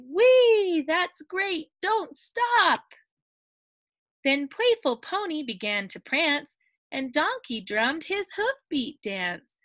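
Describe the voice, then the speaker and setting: A woman's voice: sits high, no echo. Sing-song woman teacher reading aloud. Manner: sing-song, expressive storybook reading with excited squeals. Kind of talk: read-aloud storytime lesson for children. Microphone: webcam on a computer.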